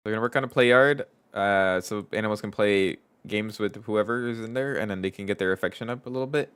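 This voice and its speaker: Male streamer, stuffy nose, low-ish smooth voice, casual think-aloud coding chat. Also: fast, a bit bright. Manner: thinks aloud, reads chat aloud, trails off and restarts. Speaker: streamer and game developer.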